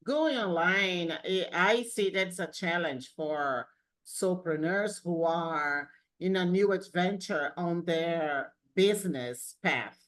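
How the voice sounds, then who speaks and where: A woman's voice: fairly low pitch, slow. A woman whose first language is not English, hosting a spiritual coaching interview in an encouraging, reflective way. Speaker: host.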